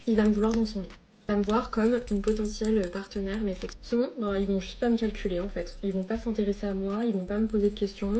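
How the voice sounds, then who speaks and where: A woman's voice: mid-range voice, darkish tone, a little hiss or hum. Chatty woman vlogging her makeup. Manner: chatty, complaining. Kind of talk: casual talk while doing makeup.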